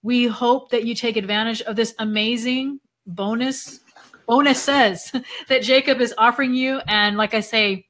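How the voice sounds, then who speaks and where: A woman hosting a casual live video interview, talking with a bright, forceful edge. Her voice is worn and going hoarse, and she chats loosely, with lots of fillers. Her pitch sits a little high. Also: steady tone, unhurried. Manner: chatty, laughs often, many fillers. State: losing her voice. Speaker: event host interviewing a speaker.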